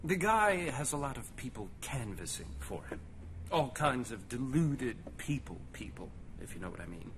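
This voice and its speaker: An actor playing an eccentric, decadent, pompous politician in a video game cutscene, theatrical and effusive in manner. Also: male voice, medium pitch, very animated, moderate pace, fairly bright tone, a little hiss or hum. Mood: sly, insinuating. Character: eccentric, decadent, pompous male politician relishing grotesque delicacies.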